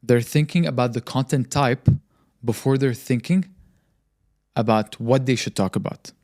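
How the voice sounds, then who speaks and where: A man's voice: mid-range voice, normal speed, a little mellow. A young man talking on a podcast in an assured, explanatory way. He lays out his advice plainly and hammers points home by repeating them. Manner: confident, explanatory, frequent emphatic repetition. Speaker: podcast host and marketing agency founder.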